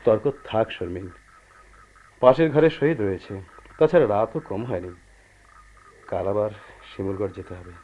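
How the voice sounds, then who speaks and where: A man acting a calm, pragmatic husband who earnestly placates his unhappy wife in a radio play. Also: lowish voice, unhurried, long pauses. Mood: soothing. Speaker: radio drama actor. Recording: dull, noisy old radio drama recording.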